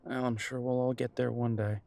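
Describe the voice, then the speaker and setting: A man's voice: medium pitch, vocal fry, a little mellow, lightly acted. A man acting a grown soldier son in an audio drama, direct but worried and a little hesitant with emotion. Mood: wry. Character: blunt grown soldier son, worried about his mother.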